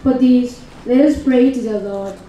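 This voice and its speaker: A woman reads the prayers of the faithful at a slow, measured pace, her voice mellow and fairly high. She sounds far from the mic, in a very echoey room with faint background noise. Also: dull audio. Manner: measured, formal reading. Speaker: reader of intercessions.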